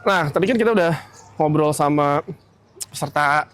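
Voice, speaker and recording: A man's voice: fairly high pitch, moderate pace, a bit bright. A man with a Jakarta accent co-hosting a festival show, chatting in teasing, slangy banter at an outdoor event. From Jakarta area. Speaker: co-host. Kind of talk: casual chat.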